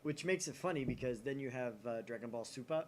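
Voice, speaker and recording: A man's voice: mid-range voice, a little fast, darkish tone, a little hiss or hum. A man from the United States hosting a casual let's play, reading a visual novel aloud with jokey, opinionated asides.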